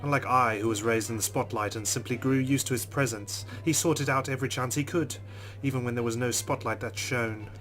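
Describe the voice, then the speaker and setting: Let's player, male, mid-pitched, reads game narration dramatically. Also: brisk, soft background music, low-quality recording. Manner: expressive reading with reactions. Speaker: let's player reading a visual novel. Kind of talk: dramatic first-person narration.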